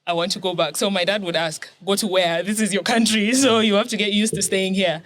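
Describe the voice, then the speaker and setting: A woman's voice: medium pitch, fairly even intonation, a little fast. A young woman from Kenya telling her life story as a guest in a casual chat, laughing often.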